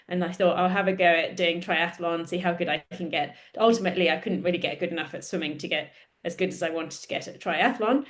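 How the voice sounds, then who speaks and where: A woman in her thirties, a podcast guest chatting casually, laughing often and self-deprecating. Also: mid-range voice. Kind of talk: casual interview chat.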